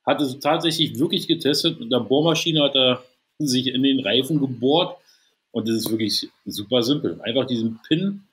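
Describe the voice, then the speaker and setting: A man in his thirties from Berlin co-hosts a casual podcast with loose, jokey talk and eager gear explanations. His voice is mid-pitched for a man. He speaks through a headset on a video call. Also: normal speed, a bit bright. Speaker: co-host.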